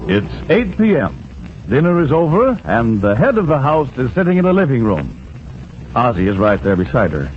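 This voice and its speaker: A man's voice: mid-range voice, wildly swooping pitch, normal speed, background noise. A man with an American accent making a scripted announcement as a 1940s radio show announcer. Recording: muffled vintage radio recording with music.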